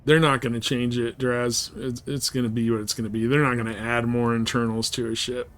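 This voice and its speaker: A man's voice: medium pitch, flat delivery, brisk, fairly bright tone. A man chatting casually and in a laid-back way while streaming a space game live.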